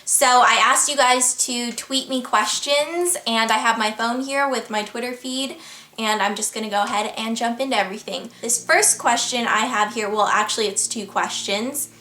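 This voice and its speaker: A woman's voice: a little high, normal speed, pushed and bright, reverberant. A young American woman in her twenties, chatting casually to camera as she answers viewer questions, earnest and upbeat, with frequent laughs. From United States. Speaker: vlogger. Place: at home, indoors.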